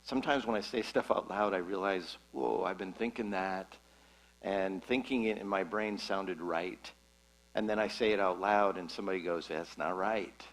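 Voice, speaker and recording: A man's voice: low-pitched, normal speed, rough recording. A man hosting a church conversation as pastor, casual and self-correcting as he talks.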